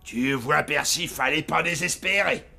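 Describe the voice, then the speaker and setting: A man voicing a gruff, greedy con artist boss in a cartoon dub. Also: fairly high pitch, a little slow, pushed and bright, full character voice. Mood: gruff, smug.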